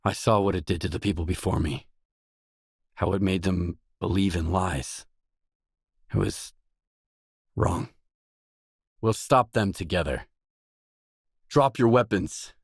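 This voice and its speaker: An actor playing a wary, world-weary stalker, the blunt protagonist of a video game, in terse acted dialogue. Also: male voice, sits low, slow pace, gravelly, pauses often, slightly rough, no room sound, clean studio sound, exaggerated voice. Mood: tense, defiant.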